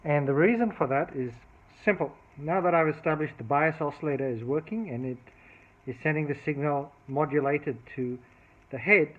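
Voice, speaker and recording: A narrow, phone-like recording of a man with a slightly high voice. He walks through a technical repair in an unscripted, methodical way, thinking aloud as he demonstrates each step. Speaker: electronics repair hobbyist demonstrating a fix. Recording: thin phone-like band-limited sound.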